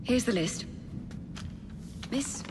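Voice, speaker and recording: An actress playing a composed, caring nurse in a video game, with an English accent and a crisp, grave, authoritative manner. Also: female voice, very slow, noisy background. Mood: grave, urgent. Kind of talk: video game cutscene acting. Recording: long reverb, clear background ambience.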